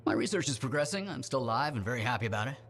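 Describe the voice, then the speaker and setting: An actor voicing an earnest, bookish scholar with a scrappy streak and an articulate, formal manner in a video game. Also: male voice, mid-range voice, lively intonation, racing, fairly bright tone, a little hiss or hum. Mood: cordial. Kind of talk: video game dialogue acting.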